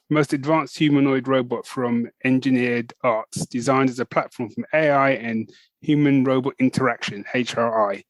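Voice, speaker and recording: A man's voice: medium pitch, no echo, poor audio. A British man co-hosting a podcast in casual chat, talking loosely and laughing often. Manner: chatty, laughing, lots of "you know what I mean". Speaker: podcast co-host.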